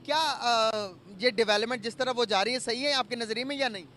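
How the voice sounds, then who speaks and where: Male interviewer, bright and very high voice, conversational and halting, light street noise. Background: outdoor traffic.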